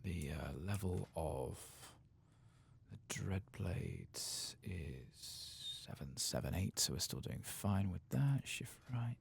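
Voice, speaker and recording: A man softly murmuring low-key ASMR game commentary to himself, breathy and gravelly, often slipping into a whisper. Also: expressive, slow. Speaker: streamer playing a video game.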